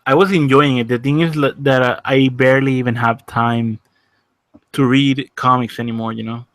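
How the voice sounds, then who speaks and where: A man's voice: medium pitch, fairly even intonation, normal speed, no echo. A man in his early twenties chatting casually in a laid-back, hesitant way, full of fillers. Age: 20s. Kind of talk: casual chat.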